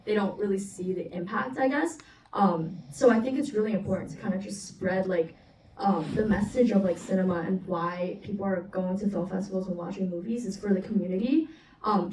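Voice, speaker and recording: A woman's voice: mid-range voice, a little mellow. Young woman from Singapore, distant roomy sound. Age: 20s. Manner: chatty, peppered with "like". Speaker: film student and festival panelist. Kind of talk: casual panel answer. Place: panel event room with ambient noise. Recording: distant roomy event mic, background noise.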